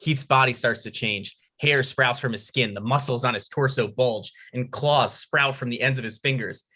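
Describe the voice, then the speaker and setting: A man's voice: mid-range voice, normal speed, bright, forceful tone, slightly rough. A man reading out scripted stage directions as narrator at a comedy table read.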